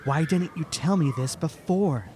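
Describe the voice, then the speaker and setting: An actor playing an awkward, apologetic young male swordsman, hesitant and halting, in an audio drama. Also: mid-range voice, a little mellow, a little hiss or hum, close to an everyday voice. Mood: reproachful. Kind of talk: fan audio drama acting.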